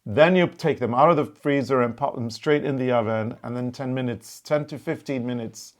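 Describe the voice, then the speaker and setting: A man co-hosting a knitting show, chatting in a relaxed way and explaining things step by step. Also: medium pitch, normal speed. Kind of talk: casual chat.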